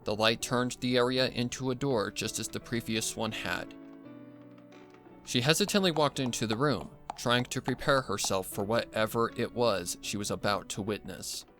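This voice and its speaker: A man reading a dark horror story as a dramatic scripted narration. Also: mid-range voice, normal speed, faint music behind. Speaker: fan fiction narrator.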